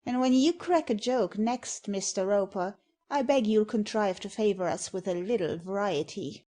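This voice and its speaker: An actress playing a sharp-tongued young woman in an audio stage satire, slangy and mocking in manner. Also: mid-range voice, moderate pace, lightly acted. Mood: losing temper.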